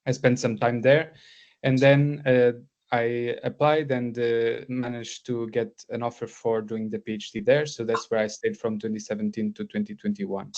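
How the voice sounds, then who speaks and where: Hesitant lecturer from Portugal, slightly muffled. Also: male voice, medium pitch, flat delivery, moderate pace, darkish tone. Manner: hesitant, many fillers and restarts. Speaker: guest lecturer. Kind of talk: academic lecture. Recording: slightly dull lo-fi sound.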